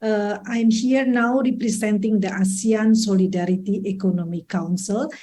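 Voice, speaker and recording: A woman from Indonesia with an Indonesian accent, speaking as a panelist in a halting conference presentation with non-native English phrasing. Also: mid-range voice, fairly even intonation, mellow. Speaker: conference panel speaker, social economy advocate.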